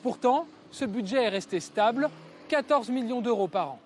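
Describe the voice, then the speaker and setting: A man's voice: very high-pitched, lively intonation, normal speed, a little mellow, a little hiss or hum. A man narrating a scripted television news report as its reporter.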